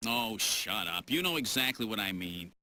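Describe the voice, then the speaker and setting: Wry, animated game detective. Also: male voice, lowish voice, normal speed, dry recording. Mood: flustered, indignant. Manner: wry, casual, hesitating when flustered. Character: wisecracking, flirtatious male detective hunting killer androids. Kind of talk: video game voice acting.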